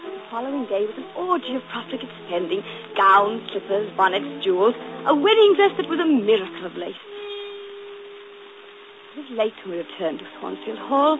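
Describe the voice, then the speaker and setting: A woman's voice: medium pitch, vocal fry. A woman playing a proud, passionate young governess in a 1940s radio drama, turning clipped and bitter, then breathless. The sound is muffled and hissy, like an old broadcast.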